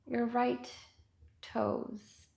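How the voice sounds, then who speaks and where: A woman with a fairly high, noticeably creaky voice leads a guided meditation. She speaks very slowly and gently, leaving long pauses between short instructions. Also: flat delivery. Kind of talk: guided body scan meditation.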